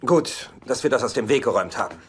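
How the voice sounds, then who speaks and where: A man's voice: mid-range voice, lively intonation, fast, a bit bright, slightly rough. An actor playing a cynical, sarcastic son in his forties with a dry delivery, in dubbed sitcom dialogue. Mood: sarcastic, guarded. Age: 40s. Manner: dry, sardonic. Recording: TV soundtrack with faint noise.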